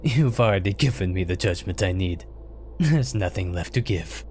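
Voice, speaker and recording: A man's voice: sits low, lively intonation, normal speed, a little mellow, slight background noise. An actor playing a sly, self-serving male god in an audio drama, sardonic and taunting. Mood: mocking.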